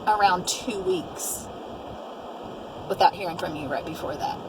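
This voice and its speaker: A woman's voice: lowish voice, a little slow, noisy background. A middle-aged woman in a reflective, conversational interview, speaking as host and mother.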